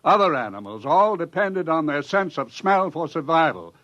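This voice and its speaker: An actor playing a male research scientist who explains things in a brisk, matter-of-fact way, in 1950s radio drama style. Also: fairly high pitch, big pitch swings, a bit bright. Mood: explaining. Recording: dull 1950s radio broadcast.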